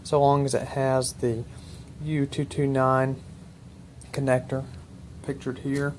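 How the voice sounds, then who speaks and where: Male demonstrator, medium pitch, unscripted technical explainer, methodical and plain-spoken, noisy room recording. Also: monotone, slow pace, darkish tone. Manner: methodical, matter-of-fact, precise with detail. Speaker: presenter demonstrating military intercom equipment.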